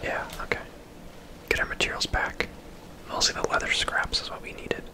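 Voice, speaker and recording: A person whispering casual, laid-back ASMR commentary over a video game, in a breathy, unvoiced voice. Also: normal speed. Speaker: host, gamer.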